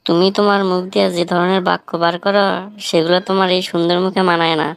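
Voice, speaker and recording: A woman's voice: on the low side, level tone, fast talker, vocal fry, clear, resonant tone, no echo. A woman voicing a witty, defiant young village man in a comic cartoon, with a northern Bangladeshi accent and a wry, argumentative manner. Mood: mock flattering. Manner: argumentative, wry, rhetorical questioning.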